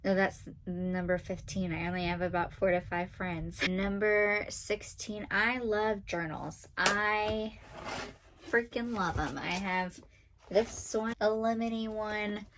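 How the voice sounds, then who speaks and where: A woman in her twenties with a nasal, monotone voice, chatting fast and dryly as a vlogger counting off facts about herself. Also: mid-range voice, background noise. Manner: talks fast and a lot, dry and sarcastic. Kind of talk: casual talking-to-camera list video.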